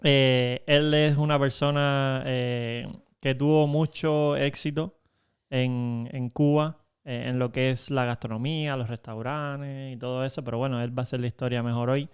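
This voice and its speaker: A man hosting a casual podcast, chatty and quick to laugh, introducing his guest. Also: medium pitch, fairly even intonation, clear, resonant tone. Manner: chatty, laughs easily, many fillers. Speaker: podcast host.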